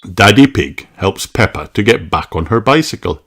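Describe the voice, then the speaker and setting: A man reading a children's storybook aloud in an expressive narrating style. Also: on the low side, normal speed. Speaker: audiobook reader of children's books. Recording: clearly noisy recording with clicks.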